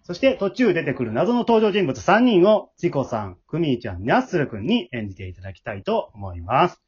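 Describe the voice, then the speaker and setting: A man's voice: a little high, sing-song, a little fast, husky. A man with a Kansai accent hosting as leader of an amateur drama troupe, in a casual but polite style. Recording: dull lo-fi remote home recording.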